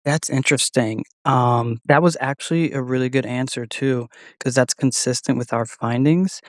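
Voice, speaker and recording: Casting: male demo host, middle-pitched, casual and conversational, dry close sound. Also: fairly even intonation, moderate pace, no echo. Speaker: host testing an AI voice assistant.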